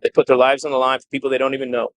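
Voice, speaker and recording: A man speaking as a police chief at a press briefing, earnest and measured, with a halting delivery as he gives a statement and answers questions. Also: medium pitch, level tone, fairly bright tone, no room sound.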